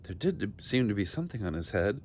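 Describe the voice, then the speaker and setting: Low-pitched man reading a children's story. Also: sing-song, fairly quick, darkish tone, slight background noise. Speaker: storybook reader. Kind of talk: expressive scripted children's story narration.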